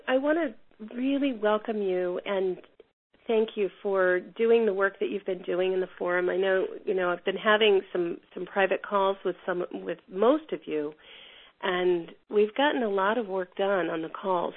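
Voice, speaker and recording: A woman with a cold, sounding a bit hoarse, teaching an online class in a rambling way with lots of fillers and restarts. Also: medium pitch. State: has a cold, a little hoarse. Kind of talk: teaching a class over a call. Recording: tinny phone line.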